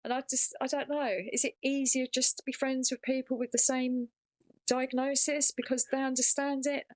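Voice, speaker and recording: A woman in a casual podcast conversation, talking fast and excitedly. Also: high-pitched, no room sound, low-quality recording. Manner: fast, animated, excited talk. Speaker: podcast guest.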